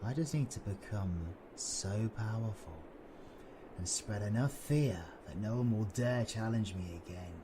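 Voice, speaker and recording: A British man playing a cynical, sardonic supervillain with dark powers in an audio roleplay, sweary, mocking and brooding. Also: fairly low pitch, unhurried, noisy background, lightly acted. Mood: brooding, menacing.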